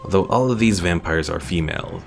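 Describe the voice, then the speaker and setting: A man's voice: sits low, brisk, vocal fry. A man giving casual commentary on a game as a streamer, thinking aloud as he plays. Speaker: gaming streamer. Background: faint game music.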